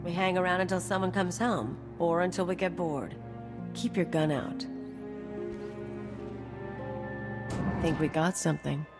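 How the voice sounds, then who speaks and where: A woman's voice: lowish voice, fairly even intonation, normal speed, close to an everyday voice. A woman playing a cynical, brash young mercenary in a video game, curt, dismissive and sardonic. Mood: confident, bored. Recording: long reverb, music behind.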